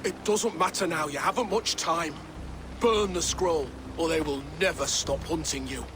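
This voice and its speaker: A man's voice: on the high side, moderate pace, background noise, natural voice. An actor playing a proud, fatalistic thane in a video game, solemn and defiant in his delivery. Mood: solemn, urgent. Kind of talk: dramatic video game acting. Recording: dramatic music behind the voice.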